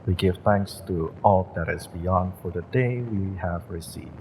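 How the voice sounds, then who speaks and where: A man acting a gentle, courteous witch who runs a magick shop and reads tarot, speaking politely and a little hesitantly in a roleplay audio. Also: deep voice, a little slow, slight background noise, rough recording. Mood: solemn, reverent. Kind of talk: roleplay audio, acted monologue to the listener.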